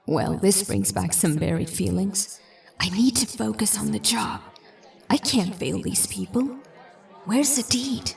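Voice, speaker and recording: A woman's voice: medium pitch, lively intonation, a little slow, creaky. A woman playing a polite, determined young detective in a sci-fi audio drama. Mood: uneasy, focused.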